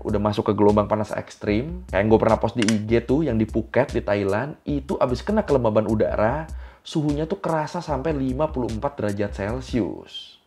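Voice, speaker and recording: A man's voice: medium pitch, darkish tone. Young Jakarta man explaining casually over music. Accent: Jakarta Indonesian. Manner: colloquial, slangy, addresses the viewer directly. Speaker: explainer video host. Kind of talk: casual, chatty explainer narration.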